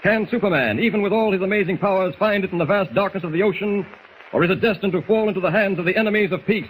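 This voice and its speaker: A man's voice: high-pitched, quick pace, low-quality recording. A man narrating an adventure serial as a 1940s radio announcer, urgent, grandiose and theatrical. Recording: vintage radio recording, faint noise.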